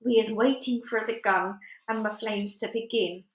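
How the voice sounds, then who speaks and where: A woman's voice: on the high side, steady tone, moderate pace, reverberant, lightly acted, rough recording. A woman voicing a cautious, earnest male storybook giant who explains things in quirky muddled grammar. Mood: hushed urgency.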